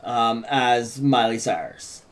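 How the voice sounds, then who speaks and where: A man's voice: medium pitch, slow. A man co-hosting a script read, narrating stage directions and chatting casually about the writing. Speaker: co-writer and podcast host.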